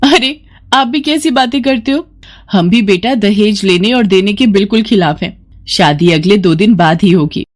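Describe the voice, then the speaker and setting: A sly, scheming cartoon sister-in-law, acted by a woman storyteller. She is persuasive and put-upon, and her pitch often lifts a little above a middle female range. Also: normal speed, natural voice. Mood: falsely sweet. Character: scheming, manipulative sister-in-law who wheedles her husband.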